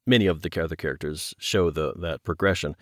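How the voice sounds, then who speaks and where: A man speaking as an interview guest in casual, reflective conversation, with halting phrasing and repeated words as he thinks. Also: a bass voice, a bit bright, dry recording, studio quality. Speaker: interview guest, longtime fan.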